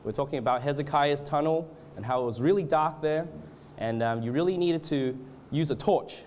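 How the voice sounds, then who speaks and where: A man from Australia and Canada with a cold, speaking as a preacher in an informal, halting, hesitant way. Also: fairly high pitch, a little mellow, a little hiss or hum. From born in Australia, moved to Canada. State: has a cold. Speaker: guest preacher at a church revival gathering. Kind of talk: informal sermon with congregational prayer.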